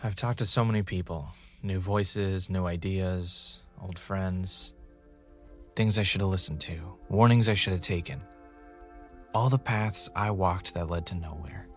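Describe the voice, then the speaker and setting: Man acting as a brooding, low-voiced podcaster. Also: a little slow, faint music behind. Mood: brooding, confessional. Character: brooding, paranoid male podcaster searching for answers. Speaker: podcast host character and narrator. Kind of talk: audio drama monologue and dialogue.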